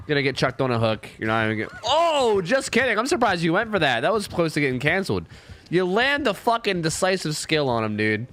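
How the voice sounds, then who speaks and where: A man's voice: fairly high pitch, sing-song, fairly quick, fairly bright tone, a little hiss or hum. A young man with a cold, commentating gameplay casually, sniffly, profane and rambling with lots of restarts. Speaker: gameplay reviewer.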